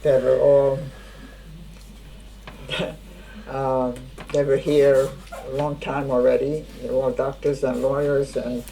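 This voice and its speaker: An older woman, a native Hungarian speaker, giving spoken testimony in a halting way, often searching for words. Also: rumbling low, little pitch movement, warm tone, a little hiss or hum, rough recording. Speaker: interviewee.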